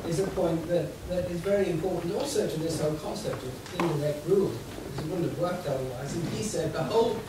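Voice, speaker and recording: Earnest guy in the audience, higher voice, lots of echo. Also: fast talker, hardly any pauses. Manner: earnest, repeats himself. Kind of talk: comment from the floor. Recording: echoey hall, distant mic, background noise.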